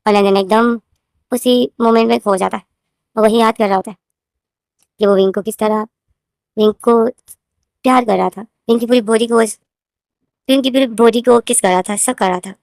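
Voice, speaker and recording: A woman's voice: fairly high pitch, a little mellow, dry recording, studio quality. A woman with a sore throat casually retelling her own fanfiction story, rambling and repeating herself as she talks to her viewers. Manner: rambling, repeats names and phrases, addresses viewers as guys. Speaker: fanfiction author and narrator.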